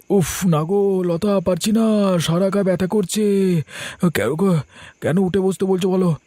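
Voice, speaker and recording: A man's voice: high voice, slow, long pauses, darkish tone, dry recording, studio quality. A man playing a sickly, self-blaming poor husband in a cartoon, with a weary, plaintive, ailing delivery. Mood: weak, pained.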